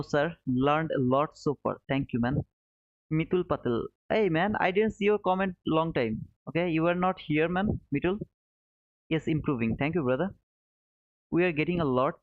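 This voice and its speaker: A man hosts a live stream, casually answering viewers in short, repeated phrases with chummy, informal address. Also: fairly high pitch. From Bangladesh. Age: 30s. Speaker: live trading stream host. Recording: narrow phone-like sound, roomy echo.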